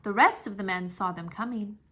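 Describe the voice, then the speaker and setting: A woman reading a scripted story aloud for children in an expressive, deliberate storytelling manner. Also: medium pitch. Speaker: storybook narrator, English teacher.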